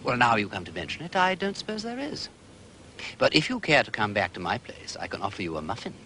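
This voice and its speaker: Urbane gentleman detective, upper-class English accent, very animated, crisp bright tone, diffident, tactful, period drama, faint noise. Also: medium pitch, quick pace. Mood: polite, curious. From England. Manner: urbane, diffident, drily whimsical. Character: affable, tactful English aristocrat, a gentleman sleuth.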